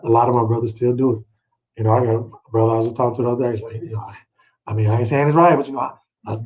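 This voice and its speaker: A man's voice: medium pitch, a little mellow, low-quality recording. A middle-aged man teaching scripture in a conversational, colloquial way, checking in with his listeners through rhetorical questions. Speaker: Bible teacher leading a read-along. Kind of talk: informal, conversational scripture teaching with personal anecdotes.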